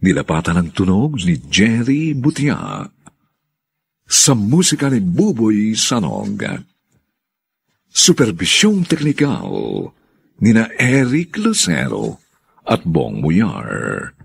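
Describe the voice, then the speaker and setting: Filipino guy announcing a radio drama, super swoopy delivery, gravelly low voice. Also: huge pitch swings, slow pace. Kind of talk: dramatic scripted narration and credits.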